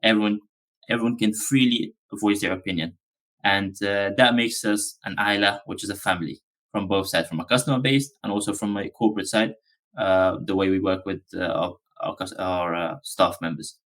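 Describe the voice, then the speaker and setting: Low-voiced man, relaxed and rambling. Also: normal speed, poor audio. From Afghanistan. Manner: relaxed, rambling, many ums and uhs. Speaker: business founder guest. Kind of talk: podcast interview.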